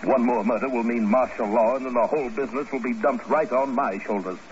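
An actor playing a bluff, self-assured, courtly army general with a formal, repetitive, blustering way of talking, in 1950s radio drama style. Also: male voice, medium pitch, fairly quick, lightly acted. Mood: earnest concern. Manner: formal, blustering, repeats himself. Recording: noisy, muffled old radio recording.

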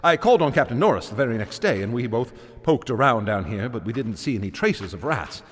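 An actor playing an excitable, haunted older American gentleman in a radio horror drama, speaking in a halting, stammering, agitated way. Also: medium pitch, breathy edge. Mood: uneasy, intrigued. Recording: echoey vault reverb, music behind.

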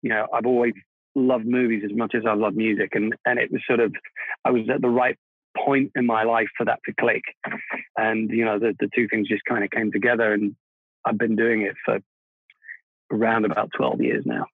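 A man's voice: lowish voice, normal speed, breathy edge, no room sound. Man on a tinny line. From Czech Republic. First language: Czech. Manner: reflective, self-deprecating, laughs easily. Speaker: guest, film composer. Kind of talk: casual interview conversation. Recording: dry, phone-like narrow sound.

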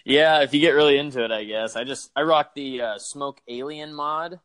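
A man's voice: medium pitch, normal speed, a bit bright, dry recording. A young man co-hosting a wrestling podcast, laid-back and full of fillers, laughing easily. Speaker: podcast co-host. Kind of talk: casual chat.

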